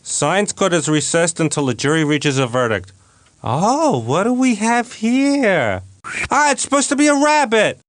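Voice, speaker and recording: Comic cartoon bailiff, excitable and nosy, high pitched, close dry sound. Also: male voice, a little slow, no echo. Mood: excitable, curious.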